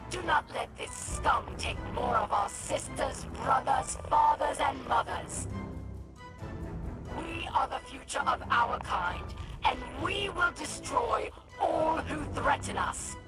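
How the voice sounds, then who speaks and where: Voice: sits high, heavily acted, low-quality recording. A performer voicing a vengeful, fiery alien warlord in a video game, delivering theatrical, menacing oratory. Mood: furious, rallying. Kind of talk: video game cutscene speech.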